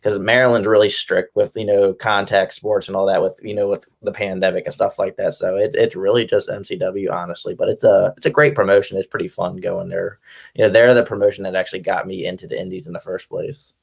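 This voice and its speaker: A man's voice: deep voice, fairly even intonation, brisk, creaky, husky. A man in his twenties giving a casual, conversational interview as a guest, loose and informal in phrasing. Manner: conversational, peppers speech with "like" and "you know". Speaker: interview guest, wrestling fan and promoter. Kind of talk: casual chat.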